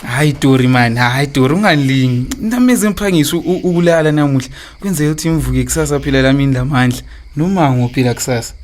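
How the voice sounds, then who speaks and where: A man's voice: mid-range voice, quick pace, a little hiss or hum, close to an everyday voice. A man with a Zimbabwean accent acting an evasive, philandering husband in a radio drama, stammering through his excuses. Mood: coaxing, reassuring. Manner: stammering excuses, switching into English phrases. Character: evasive philandering husband and father, smooth-talking, defensive.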